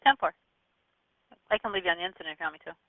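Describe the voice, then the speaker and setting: Fast, high-pitched male dispatcher on police radio. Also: no room sound. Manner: brisk procedural phrasing. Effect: two-way radio. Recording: tinny, narrow police radio band.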